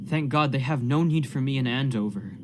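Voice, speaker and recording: A man's voice: medium pitch, brisk, a little mellow, slight background noise, natural voice. A man playing an anguished, guilt-ridden minister in a radio play, pleading fervently in formal, archaic period language. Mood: anguished, bitter. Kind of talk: period stage drama acting in a radio play.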